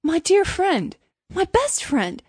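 A woman's voice: sits high, lively intonation, a little fast, darkish tone, no echo, clean studio sound, pitched above the performer's own voice. A woman voicing a naive, good-hearted little man in a storybook, earnest, apologetic and eager to please. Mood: devoted. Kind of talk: storybook character voice.

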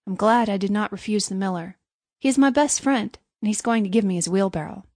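A woman's voice: mid-range voice, a little fast, a little mellow, dry recording, studio quality, natural voice. Woman playing a meek, earnest little storybook man. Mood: contented. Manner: earnest, apologetic, emphatic. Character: meek, naive, good-hearted little man, eager to please. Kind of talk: storybook character voice.

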